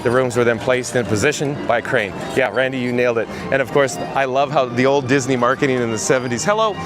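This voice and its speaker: A hoarse man with a raspy voice hosting a live theme park vlog outdoors, chatting and reading viewer comments aloud. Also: mid-range voice, steady tone, fast, music in the background. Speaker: livestream host.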